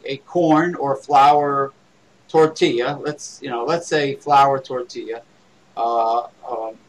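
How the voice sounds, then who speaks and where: A man from the East Coast of the United States, a co-host in casual chat who talks haltingly with many fillers. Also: mid-range voice, rough recording.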